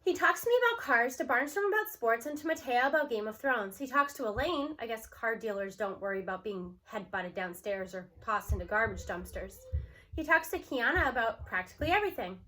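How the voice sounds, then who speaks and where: Bright, high-voiced woman reading a story. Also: lively intonation, a little fast. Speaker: audiobook reader. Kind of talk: expressive read-aloud of a children's novel in a student's first-person voice.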